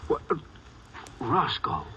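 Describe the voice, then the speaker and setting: An actor playing a matter-of-fact, folksy county sheriff with a plain-spoken American manner and accent, in 1950s radio drama style. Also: male voice, mid-range voice, wildly swooping pitch, extremely slow, exaggerated voice. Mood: watchful. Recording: noisy old radio recording.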